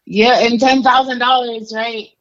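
A woman's voice: fairly high pitch, fairly even intonation, measured pace, muffled recording. A young adult woman from Mexico, a guest telling her life story in a casual, candid interview full of fillers. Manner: candid, conversational, many fillers.

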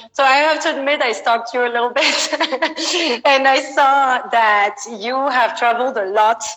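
A woman interviewer with a slightly high voice asks her questions hesitantly, stopping and restarting with fillers. Her voice trails off into a long reverb, as if she is in a large room. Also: fairly bright tone. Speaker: interviewer, host. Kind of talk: hosted interview.

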